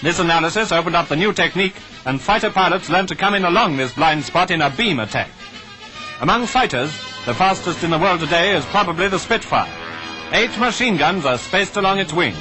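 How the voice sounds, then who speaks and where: Old 1940s newsreel guy, posh british rp, highish voice, clipped and declamatory, music going behind him. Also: expressive, brisk, rough recording. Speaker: newsreel narrator. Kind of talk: 1940s wartime newsreel commentary.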